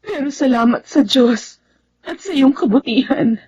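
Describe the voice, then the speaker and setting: A woman playing an ailing, remorseful older sister in a Filipino radio drama, with a weak, breathy voice. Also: high voice, expressive, unhurried, warm tone, highs cut off, exaggerated voice. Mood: remorseful, tearful. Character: sick, remorseful older sister, once greedy, now humbled.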